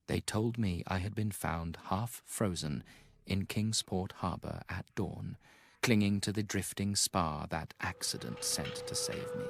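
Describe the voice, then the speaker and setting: A man narrating a horror story in a theatrical, ominous, deliberate way, with a gravelly voice. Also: low-pitched, no echo. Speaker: audiobook narrator. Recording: music under the voice.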